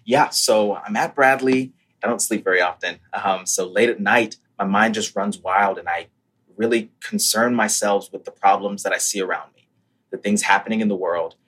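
A young man from Brazil tells his story in an interview, earnest and reflective. His voice sits low and has a husky, breathy edge. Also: normal speed, a bit bright, low-quality recording. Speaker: startup founder.

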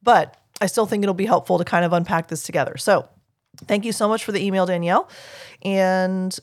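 A woman with a low speaking voice, hosting a teaching podcast and answering a listener's email in an earnest, explanatory way. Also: fairly quick, no echo. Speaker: podcast host.

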